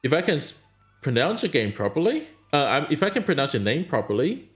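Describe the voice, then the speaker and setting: A man's voice: a little high, very animated, a little fast, faint music behind. A man streaming a mobile game, chatting casually and answering his chat in a rambling way. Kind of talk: casual live-stream chat while gaming.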